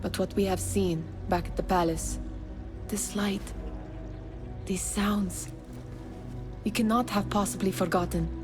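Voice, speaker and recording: A woman's voice: mid-range voice, steady tone, measured pace, long pauses, over music, close to an everyday voice. An actress playing an insistent, taunting woman from someone's past in a video game, probing and intense. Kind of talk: dramatic video game acting.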